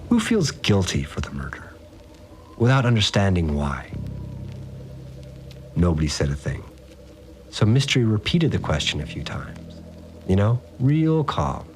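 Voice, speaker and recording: A man's voice: deep voice, slight background noise. An actor playing a slangy, rattled fixer who tells his story informally in an audio drama. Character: rattled, slangy male fixer recounting events. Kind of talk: first-person audio drama monologue. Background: music.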